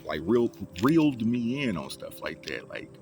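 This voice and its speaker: A man's voice: fairly low pitch, big pitch swings, normal speed. A young man telling his life story in a rambling, profane, casual way, with many restarts. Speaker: vlogger. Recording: loud music playing behind the voice.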